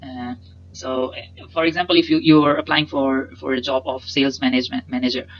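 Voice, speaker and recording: Young man, native Punjabi speaker, webcam lesson, repeats words, uh fillers, mid pitch, slightly dull sound. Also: level tone, a little fast. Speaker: instructor. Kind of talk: explaining with slides. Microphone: webcam in a corner inset.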